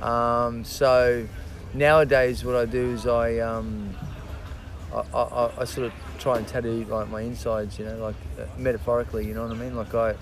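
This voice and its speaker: A man chatting as a podcast guest, halting and full of restarts and fillers, swearing casually. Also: mid-range voice, flat delivery, background noise. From Australia. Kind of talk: casual interview chat.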